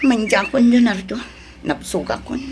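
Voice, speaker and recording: A woman's voice: mid-range voice, lively intonation, moderate pace, a little mellow, slight background noise, natural voice. A woman acting a worn-down, sorrowful wife and mother in an audio drama, plaintive and often tearful. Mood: weary, sad.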